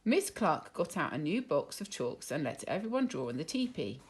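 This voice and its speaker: Animated, husky woman storyteller. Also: low-pitched. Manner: animated, deliberate. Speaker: school teacher reading a story. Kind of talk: expressive children's storytelling.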